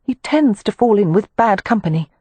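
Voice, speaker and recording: A woman's voice: mid-range voice, animated, moderate pace, dark tone, breathy edge, no echo, voice pushed up. A woman voicing a proper, determined young Victorian lady who speaks politely, formally and insistently. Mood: earnest, insistent.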